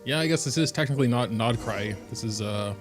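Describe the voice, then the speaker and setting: A man's voice: mid-range voice, fairly quick, music in the background. A man from Germany with a German accent, a native German speaker, rambling and thinking aloud in casual live-stream commentary while he plays. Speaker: game streamer.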